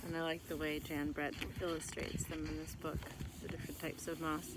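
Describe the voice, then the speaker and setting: A woman's voice: low-pitched, flat delivery, brisk. A woman reading a children's picture book aloud as a teacher would, in scripted narration. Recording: clear background noise.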